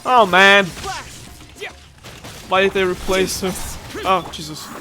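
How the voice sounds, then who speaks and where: A young man with a high voice commentates casually on a video game. He rambles along, chatty and excitable, with faint music behind him. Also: slow. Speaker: gaming YouTuber.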